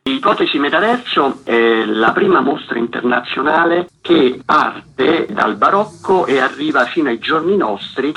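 A man's voice: mid-range voice, a bit bright, husky. A male exhibition curator giving a formal explanation as a guest in a radio interview.

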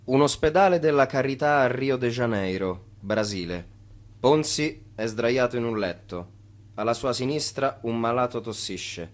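An actor as the sober narrator of a radio play, reading in a measured, detached, declarative way. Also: male voice, mid-range voice, lightly acted. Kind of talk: scripted radio drama narration.

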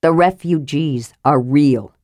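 A woman as a low, level-headed half-elf leader. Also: slow, darkish tone, no room sound, clean studio sound. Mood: firm reasoning.

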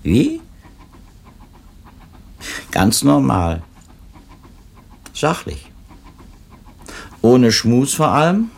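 A man's voice: mid-range voice, huge pitch swings, very slow, creaky, pauses often, darkish tone, husky, full character voice. An actor playing a seasoned, matter-of-fact male publishing editor in a 1970s German radio drama, speaking dryly and emphatically. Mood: firm, matter-of-fact. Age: middle-aged or older. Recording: noisy 1970s radio drama, train ambience.

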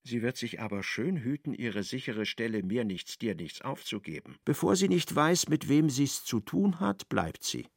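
A man narrating a radio play in an ironic, expressive storytelling manner. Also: medium pitch, moderate pace, gravelly, hardly any pauses, no echo, studio quality.

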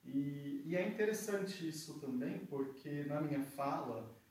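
Soft-spoken Brazilian man in a roomy space. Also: fairly high pitch, measured pace. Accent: Brazilian Portuguese, São Paulo interior. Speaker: artist guest. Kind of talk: casual conversation. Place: theatre garage space. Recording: roomy echo, distant mic, faint noise.

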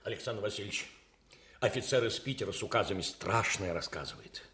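An actor playing a loyal princely officer, an earnest and dramatic confidant, in a radio drama. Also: male voice, lowish voice, brisk, a bit bright. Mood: anxious. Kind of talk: Soviet-era radio drama acting.

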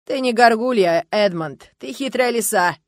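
A woman's voice: mid-range voice, expressive, fairly quick, no room sound, natural voice. A woman voicing a courteous, wry male castle spirit in an audiobook, thoughtful and mildly mocking. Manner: thoughtful, sighing, lightly mocking.

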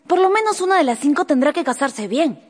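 A high, girlish voice plays a pert, bold young daughter. She is emphatic, in radio-drama style, with long reverb and music behind. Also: lively intonation, a little fast. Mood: pleading, practical.

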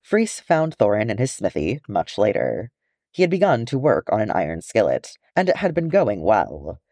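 A man reading a fantasy story aloud as expressive scripted narration. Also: lowish voice, moderate pace, no room sound, studio quality. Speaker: audiobook reader of fan fiction.

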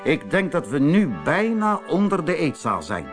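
A man's voice: a little high, big pitch swings, normal speed, over music, voice pushed up. Man playing a firm, commanding storybook badger. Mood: firm, sensible. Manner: firm, rallying, authoritative. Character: steady, commanding male badger leader of animal friends.

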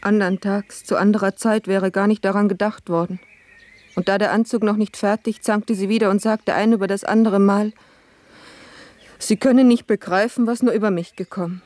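Woman acting sorrowful housemaid, dutiful and put-upon, mellow dark tone, plaintive, formal old-fashioned phrasing, radio play. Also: medium pitch, steady tone, moderate pace, dry recording, lightly acted. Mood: aggrieved.

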